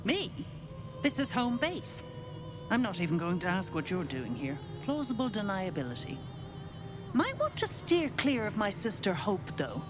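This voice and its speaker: A woman's voice: mid-range voice, wildly swooping pitch, moderate pace. An actress playing a sly, worldly female devil in a video game, speaking in a mocking, knowing, breezy way. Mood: wry, dismissive. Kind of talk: video game character acting. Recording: game music behind. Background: music.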